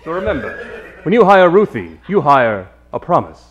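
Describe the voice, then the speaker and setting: A man playing a hearty, earnest pitchman in a parody old-time radio commercial. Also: very animated, moderate pace, highs cut off.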